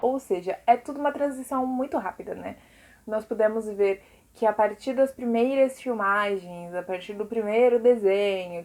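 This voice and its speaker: A woman's voice: on the high side, darkish tone. A Brazilian woman teacher giving an informal recorded lesson to pupils, chatty and explanatory, often adding little check-in tags.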